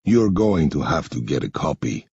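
A man voicing a guarded, serious male guardian with a terse, measured manner in an audiobook. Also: extremely low pitch, very animated, darkish tone, husky, dry recording, muffled recording, pitched below the performer's own voice, full character voice. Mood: grave.

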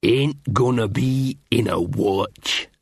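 A man voicing a tough, sullen, huge troll who speaks in slow, terse refusals. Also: mid-range voice, creaky, slightly rough, no echo, clean studio sound, full character voice. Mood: sullen, stubborn.

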